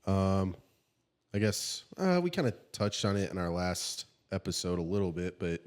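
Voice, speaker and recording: A young man co-hosting a casual podcast, a little stuffed up from allergies, talking in halting bursts with many restarts and fillers. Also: low-pitched, big pitch swings, clear, resonant tone. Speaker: podcast co-host. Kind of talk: casual chat.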